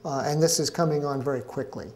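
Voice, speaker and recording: A man's voice: a little high, a little mellow, a little hiss or hum. Middle-aged man explaining with many fillers. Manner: measured, explanatory, frequent "uh" fillers. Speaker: interviewee, ocean scientist. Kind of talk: interview answers. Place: event pavilion at a conference.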